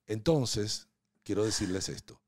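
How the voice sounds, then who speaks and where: A man's voice: lowish voice, lively intonation, measured pace, dry recording. A man, a podcast guest chatting casually, playful and storytelling. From Venezuela. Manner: playful, asks teasing questions, storytelling. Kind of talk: casual interview chat. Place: small home podcast studio. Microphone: studio mic on boom arm, headphones.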